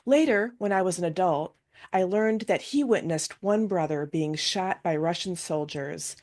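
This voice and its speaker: A woman's voice: on the low side, a little slow, a little mellow. A woman minister giving a recorded video sermon, telling a personal family story in an earnest way.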